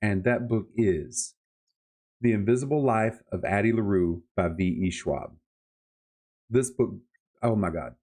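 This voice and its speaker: A man's voice: on the low side, a little slow, long pauses, a little mellow. A man chatting casually and emphatically about books as a podcast host, with enthusiastic, colloquial delivery. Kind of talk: casual book-review chat.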